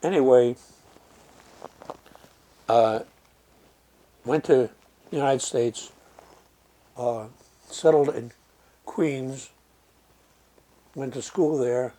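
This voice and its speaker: An older man from Germany, speaking slowly and reflectively in an oral history interview, with frequent pauses and fillers. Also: mid-range voice, warm tone.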